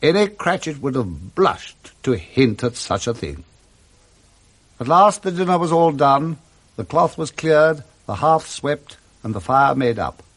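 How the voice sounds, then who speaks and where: A man with a British accent narrating a 1960s radio drama in a measured, expressive storytelling way. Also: on the high side, heavily acted. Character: male storyteller narrating a Victorian Christmas tale.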